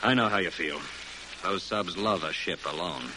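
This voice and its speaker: A man's voice: low-pitched, normal speed, close to an everyday voice. A man playing a grave military officer in a classic radio drama, giving a briefing in a clipped, emphatic, solemn way. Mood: somber. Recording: faintly noisy old radio recording.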